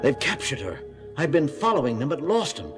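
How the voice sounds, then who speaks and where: A man's voice: fairly high pitch, sing-song, a little fast. An actor playing a grave, alert moonbase crewman in a 1970s audio drama. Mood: grave, tense. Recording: music under the voice.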